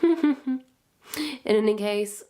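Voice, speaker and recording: A woman's voice: mid-range voice, lively intonation, drawn-out words, mellow. A woman playing a wicked, theatrical witch in a suspenseful roleplay, pompous and florid, savoring each phrase as she toys with a captive. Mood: sly, gossiping. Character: wicked, theatrical female witch, smugly toying with her captive. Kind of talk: suspenseful point-of-view roleplay monologue.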